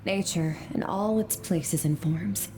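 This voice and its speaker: A woman voicing a stern, composed female sword mentor in a game, speaking in a calm, measured, instructive way. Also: lowish voice, a little hiss or hum, poor audio. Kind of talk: game cutscene voice acting.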